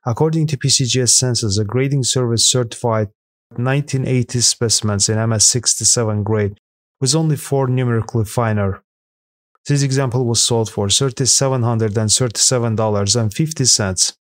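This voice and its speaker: Male narrator, mid-pitched, steady scripted read, dry close mic. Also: brisk, dry recording. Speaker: narrator of a coin auction countdown.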